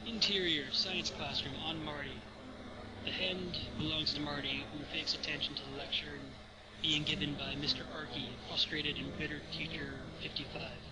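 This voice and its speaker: A man's voice: fairly high pitch, flat delivery, moderate pace, heavy background noise. A man narrating stage directions in an informal read-aloud of a screenplay.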